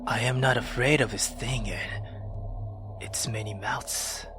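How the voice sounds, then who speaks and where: Man reading as a low, hushed, haunted storyteller. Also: fairly even intonation, a little slow, loud music behind the voice, lightly acted. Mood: haunted, grim. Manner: low, hushed, whispery, solemn. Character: haunted, sorrowful narrator-hero of a dark horror tale. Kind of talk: dramatic visual novel narration.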